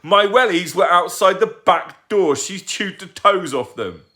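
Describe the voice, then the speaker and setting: A man's voice: high voice, wildly swooping pitch, normal speed, fairly bright tone, voice pushed up, full character voice. A man voicing a short-tempered, furious male neighbour who growls and roars in an audiobook. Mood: roaring furious. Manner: growling, roaring, breathing heavily.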